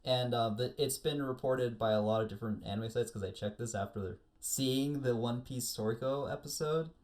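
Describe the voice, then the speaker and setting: A man's voice: medium pitch, moderate pace, a little mellow. A man chatting casually as a panelist on an anime discussion show, explaining things with detailed asides. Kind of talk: casual chat.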